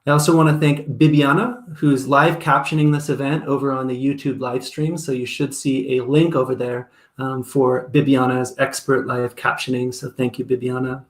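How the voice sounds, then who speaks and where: Male event host, sincere and thankful, mid-pitched, slight room echo on a video call. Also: level tone, normal speed, few pauses. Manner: earnest, grateful, with frequent ums and uhs. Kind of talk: opening remarks on a video call.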